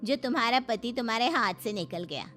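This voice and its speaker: A woman's voice: on the high side, lively intonation, brisk, pushed and bright, faint music behind. A woman voicing a spiteful elder sister-in-law in a storybook drama, with a smug, needling manner.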